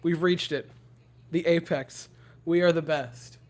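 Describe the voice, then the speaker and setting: A young man with a hoarse, worn-out voice, rambling giddily to his livestream chat from home. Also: a little high, fairly even intonation, slow pace. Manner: giddy, rambling, talking to chat. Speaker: streamer.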